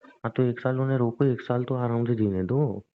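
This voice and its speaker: A man's voice: mid-range voice, steady tone, normal speed, close to an everyday voice. A young man playing a pleading, sulky, clingy boyfriend in a casual romantic phone-call skit, with a whiny, coaxing manner. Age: young adult. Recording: thin, narrow phone-line sound.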